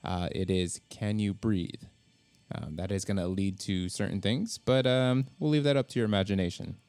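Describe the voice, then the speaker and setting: A man with a fairly low voice hosts a casual podcast. He talks informally and with enthusiasm, dropping swear words as he goes. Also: normal speed, darkish tone, faint music behind. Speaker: podcast host and filmmaker.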